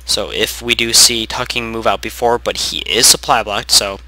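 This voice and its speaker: A young man doing casual play-by-play commentary on a strategy game, losing his voice from sickness, rambling and correcting himself, clearing his throat often. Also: on the low side, steady tone, moderate pace, bright, forceful tone, a little hiss or hum, rough recording. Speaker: game caster.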